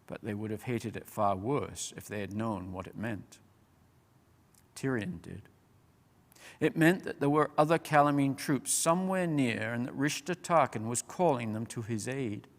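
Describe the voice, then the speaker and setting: A man reading a children's fantasy story aloud as a measured, expressive, dramatic narrator. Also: medium pitch. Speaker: storyteller, reader. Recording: long reverberant hall.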